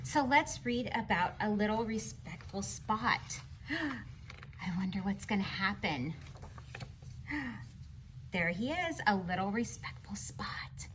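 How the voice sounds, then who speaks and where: A woman reading a picture book aloud to children in an animated, teacherly way, with explanatory asides and questions to young listeners. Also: medium pitch, slow, long pauses, slight background noise.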